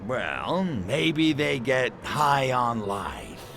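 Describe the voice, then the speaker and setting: An actor playing a cocky, crude alien invader in a video game, brash, sarcastic and swaggering. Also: male voice, mid-range voice, very animated, drawn-out words, gravelly, a bit bright, full character voice. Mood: sardonic. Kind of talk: video game cutscene acting. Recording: background music under dialogue.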